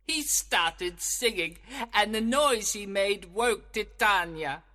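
A man voicing a mischievous, eager fairy servant in a storybook, with an animated, giggly delivery. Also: high-pitched, takes it slowly, in a large hall, pitched above the performer's own voice, heavily acted. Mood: excited storytelling. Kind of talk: storybook character voice.

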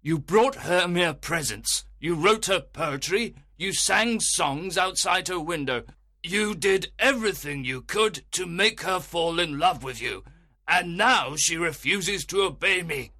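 A man voicing a stern old father, slow and bright. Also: a little high, lively intonation, no echo, voice pushed up, heavily acted. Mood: angry. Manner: insistent, aggrieved. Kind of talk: storybook reading.

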